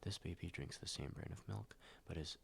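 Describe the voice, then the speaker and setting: A young man with an extremely deep, gravelly voice murmurs in a soft-spoken ASMR style, slightly nasal and stuffed up from a cold. He hums and ums through his words and often drops into a whisper, with a faint hiss underneath. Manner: soft, slow, many ums and likes, drifting into whispers. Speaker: ASMR YouTuber.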